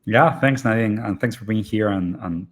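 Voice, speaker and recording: Argentine-born founder, low voice, halting delivery packed with "you know" and "right?". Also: male voice. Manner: hesitant, many fillers and restarts. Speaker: immigration startup founder. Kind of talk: live online interview.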